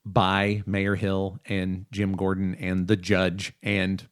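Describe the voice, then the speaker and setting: A man's voice: sits low, monotone, takes it slowly, clear, resonant tone. A man co-hosting a podcast, casually discussing and explaining his opinions in a review style. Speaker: podcast co-host.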